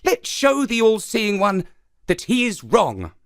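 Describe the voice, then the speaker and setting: A man's voice: high-pitched, huge pitch swings, takes it slowly, smooth, dry recording, studio quality, voice pushed up, exaggerated voice. A man voicing a small, wily, boastful trickster spider in a children's folktale, with a confident, persuasive manner. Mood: sly, eager.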